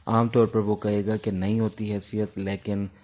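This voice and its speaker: A man with a Pakistani accent gives an informal exam-prep law lecture, mixing in English legal terms, in a halting, instructive way with pauses between phrases. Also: fairly low pitch, level tone, darkish tone, a little hiss or hum.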